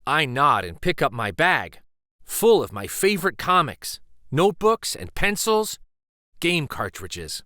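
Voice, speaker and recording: Male children's storyteller, slow and lively, with varied pitch for picture book narration. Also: no echo, studio quality. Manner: deliberate, animated storytelling delivery. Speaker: children's read-aloud storyteller.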